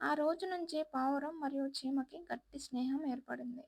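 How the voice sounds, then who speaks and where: A woman's voice: high voice, moderate pace, creaky, a little mellow, no room sound, clean studio sound. A woman storyteller reading a scripted children's moral tale as narrator.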